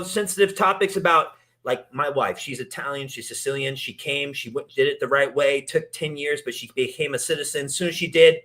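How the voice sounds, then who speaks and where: A man's voice: a little high, pushed and bright. A man hosting a casual interview, talking in long rambling turns full of restarts and fillers. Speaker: podcast host. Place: in Italy.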